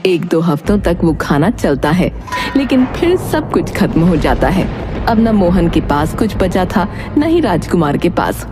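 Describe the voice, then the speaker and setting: A woman's voice: fairly low pitch, sing-song, measured pace. Female storybook narrator with music behind. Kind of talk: scripted storytelling narration for a Hindi animated moral tale.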